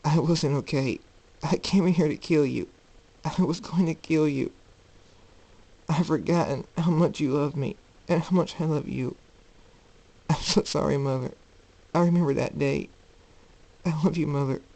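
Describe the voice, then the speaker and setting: A man's voice: medium pitch, fairly even intonation, measured pace, warm tone, natural voice, poor audio. Man as grown storybook pony son, earnest, emotional, pleading, devoted. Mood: remorseful.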